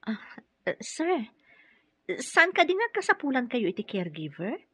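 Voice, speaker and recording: A woman's voice: high-pitched, sing-song, moderate pace, fairly bright tone, breathy edge, no echo, full character voice. An actress playing an earnest, polite nurse and single mother in a radio drama, slightly hesitant and questioning, with a Filipino accent. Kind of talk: Ilocano radio drama acting.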